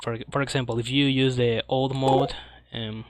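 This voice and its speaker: A man who speaks English as a second language, giving casual live speedrun commentary, halting as he searches for words. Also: mid-range voice, flat delivery, background noise. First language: not English. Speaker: speedrunner presenting a game at a streamed marathon.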